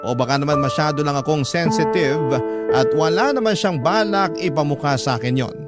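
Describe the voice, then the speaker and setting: A Filipino-accented man tells a scripted story in the first person, as a radio drama host does. His voice sits fairly high. Loud music plays underneath throughout. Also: a little fast. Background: loud background music.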